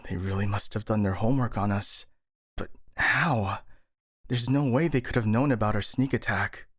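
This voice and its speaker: A man's voice: lowish voice, normal speed, a bit bright, dry recording. Man playing a worried, skeptical ninja, dry sound. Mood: strained. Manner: sighing, hesitant, exasperated. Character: captured male master ninja, skeptical, worrying. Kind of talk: scripted ASMR audio roleplay.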